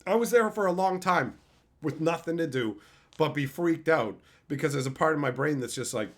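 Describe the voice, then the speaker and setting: A man with a loud voice chatting casually on a live stream, swearing and laughing often. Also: on the high side, expressive, normal speed. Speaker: streamer host.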